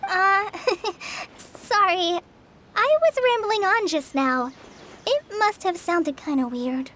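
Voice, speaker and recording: Game role: kind teenage ranger girl, extremely high voice, bashful and apologetic, sincere delivery. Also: animated, takes it slowly, a bit bright, slight background noise, exaggerated voice. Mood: earnest, bashful. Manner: earnest, apologetic, polite. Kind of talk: video game dialogue acting. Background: game music.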